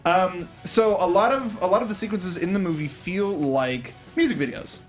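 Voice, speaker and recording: A man co-hosting a casual film review show, talking through production trivia in a halting way, repeating words as he restarts. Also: high-pitched, soft background music. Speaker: talk show co-host.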